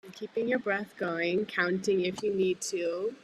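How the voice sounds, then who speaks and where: A woman leading a guided breathwork meditation, speaking gently and soothingly with unhurried pauses. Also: mid-range voice, fairly even intonation, slight background noise, rough recording. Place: live online group call.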